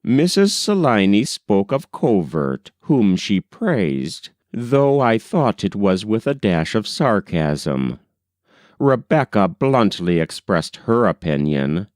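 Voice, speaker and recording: A man reading an old-fashioned novel as an audiobook narrator, in an expressive storytelling manner that acts out the dialogue. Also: lowish voice, dry recording, clean studio sound.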